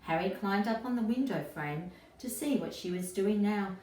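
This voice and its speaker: A woman's voice: medium pitch, moderate pace, a little mellow. A woman reading a children's storybook aloud expressively, as an educator talking to young listeners and putting questions to them. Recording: roomy echo, distant mic, faint noise.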